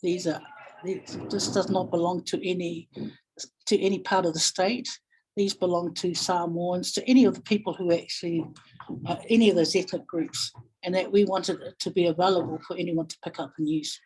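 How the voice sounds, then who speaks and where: A woman with a New Zealand accent speaking reflectively in a webinar, pausing thoughtfully and searching for her words. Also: lowish voice, steady tone, darkish tone, husky, rough recording. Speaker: guest webinar speaker, family violence practitioner.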